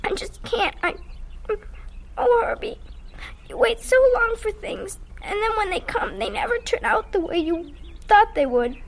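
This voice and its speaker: A woman's voice: very high voice, lively intonation, a little slow, gravelly, slight background noise, full character voice. An actress playing an earnest, flustered young farm girl with a childlike voice in a radio drama. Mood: upset, confiding.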